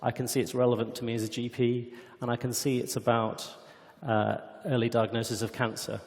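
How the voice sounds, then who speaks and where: A man giving a product demonstration at a conference, explaining in a measured way, recorded in a reverberant hall. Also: medium pitch, flat delivery. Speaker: company executive presenting a product demo. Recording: echoey hall with long reverb.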